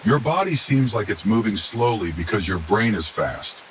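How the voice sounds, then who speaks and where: A man's voice: on the low side, moderate pace, voice pushed up, poor audio. A man voicing a matter-of-fact female research assistant in a story, explaining things in clinical terms. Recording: steady hiss behind the voice.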